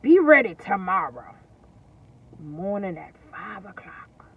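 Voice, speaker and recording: A woman voicing a sly, menacing big bad wolf from a storybook, with loud, drawn-out threats. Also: mid-range voice, sing-song, phone-quality sound, slight background noise, pitched below the performer's own voice. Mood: sly coaxing.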